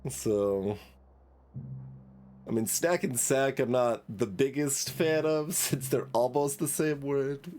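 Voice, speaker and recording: A man's voice: mid-range voice, slow, darkish tone, a little hiss or hum. A man streaming a game with live commentary, making hesitant, analytical asides as he goes.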